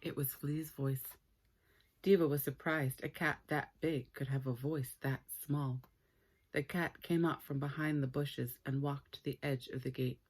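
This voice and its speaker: Woman, low voice, slightly husky, expressive children's storyteller, playful, dramatic pauses. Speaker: storyteller reading a children's book. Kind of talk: read-aloud story time for children.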